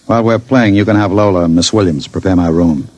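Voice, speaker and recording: An actor playing a suave, scheming criminal, mock-polite and insinuating, in an old-time radio drama. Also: male voice, low voice, fast talker, darkish tone. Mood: coolly commanding. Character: suave, scheming male criminal, coolly sinister manipulator.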